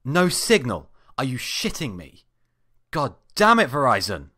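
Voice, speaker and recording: A man voicing an exasperated, sarcastic, foul-mouthed stranded fast-food worker in a dramatic story reading. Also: medium pitch, huge pitch swings, no room sound, pitched above the performer's own voice, full character voice. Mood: furious, exasperated. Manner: sarcastic, loudly indignant.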